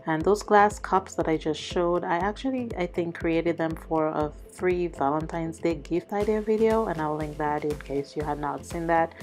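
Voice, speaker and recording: Female vlogger, low register, a touch hoarse with a cold, chatty step-by-step household narration over music. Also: a little mellow. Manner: conversational, explanatory. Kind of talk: casual cleaning vlog narration. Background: background music.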